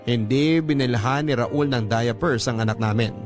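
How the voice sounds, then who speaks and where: A man's voice: medium pitch, moderate pace. A man with a Filipino accent reading a scripted first-person letter as a radio drama narrator. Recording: music under the narration.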